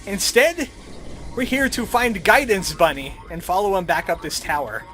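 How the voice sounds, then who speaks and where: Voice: mid-range voice, sing-song, moderate pace, fairly bright tone. A video game commentator narrating a playthrough with playfully sarcastic asides. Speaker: gaming video host. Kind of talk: Let's Play commentary. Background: adventure game music.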